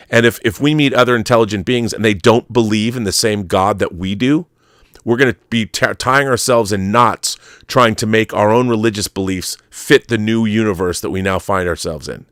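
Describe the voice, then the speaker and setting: Male host, 50s, American, lower register, dry close sound; casual, digressive, emphatic, opinionated. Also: normal speed, fairly bright tone, no room sound. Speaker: talk show host. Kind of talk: casual, rambling opinionated live chat answering viewer messages.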